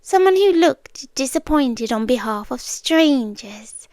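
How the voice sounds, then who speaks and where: Woman as a slow, whispery ancient yandere being, British. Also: high-pitched, expressive, no room sound, full character voice. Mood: tender, intent. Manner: slow, deliberate, hushed, with dramatic pauses. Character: ancient otherworldly female being, obsessively devoted, wistfully watching humans. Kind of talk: soft ASMR roleplay, half-whispered monologue. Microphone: close ASMR microphone.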